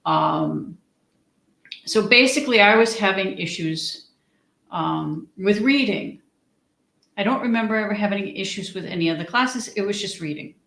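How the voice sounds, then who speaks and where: A woman's voice: medium pitch, a bit bright. Hesitant woman in an echoey room. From United States. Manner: hesitant, frequent restarts and ums. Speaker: guest. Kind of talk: conversational interview.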